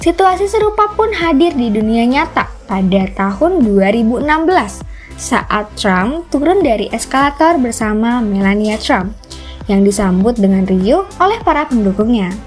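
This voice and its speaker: A woman's voice: fairly high pitch, expressive, normal speed. A woman reading a scripted explainer narration as the narrator of a video channel. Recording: music bed under the voice. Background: background music.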